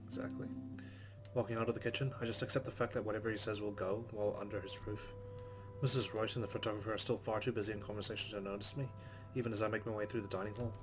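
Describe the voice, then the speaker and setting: A man's voice: fairly low pitch, flat delivery, fast talker, music in the background. A man hosting a let's play, reading visual novel narration aloud and adding casual commentary.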